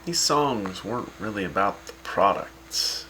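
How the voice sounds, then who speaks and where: An actor playing a wry, sarcastic radio host in the wasteland. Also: male voice, lowish voice, lively intonation, measured pace, pushed and bright, a little hiss or hum, rough recording.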